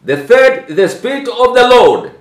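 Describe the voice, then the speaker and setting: A Tanzanian man in his seventies with a Tanzanian accent, a preacher speaking deliberately, phrase by phrase, often repeating himself. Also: very high-pitched, very animated. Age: 70s. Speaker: archbishop. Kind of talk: religious address given phrase by phrase for an interpreter. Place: small tiled room indoors. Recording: echoey room.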